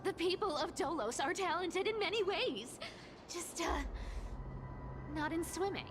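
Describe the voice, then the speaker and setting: A woman's voice: extremely high pitch, expressive, unhurried. A woman voicing a sly, haughty, greedy trickster demigod boss in a video game, theatrical and commanding. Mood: flustered, irritated. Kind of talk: animated video game voice acting. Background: game music.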